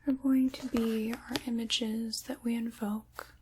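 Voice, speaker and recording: A woman voicing an ancient, sly dark witch in an ASMR roleplay, in a breathy whisper with deliberate, theatrical, old-fashioned phrasing. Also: fairly high pitch, flat delivery, noisy background, close to an everyday voice. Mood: conspiratorial, sinister.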